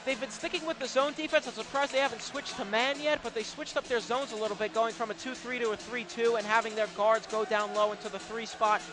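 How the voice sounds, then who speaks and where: A man giving measured, explanatory analysis as a color commentator on a live basketball broadcast. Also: high-pitched. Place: indoor basketball arena.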